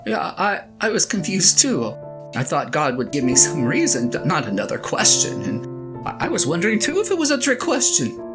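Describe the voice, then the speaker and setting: A woman's voice: fairly low pitch, sing-song, normal speed. A woman playing a flustered, self-doubting shepherd husband in an audio drama, stammering earnestly as the character confides in a spouse. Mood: sheepish, puzzled. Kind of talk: one-sided audio drama monologue, conversational and comic. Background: plucked guitar music.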